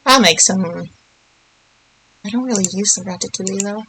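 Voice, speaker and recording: A woman's voice: medium pitch, moderate pace, sharp tone, breathy edge, low-quality recording. A woman giving casual, playful video game commentary, thinking aloud and murmuring little nonsense words to herself as she plays.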